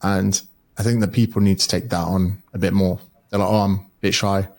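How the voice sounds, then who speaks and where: A man's voice: low voice, flat delivery, a little fast, vocal fry, darkish tone. A man speaking as a podcast guest, an educator and author who talks bluntly and swears freely in casual conversation.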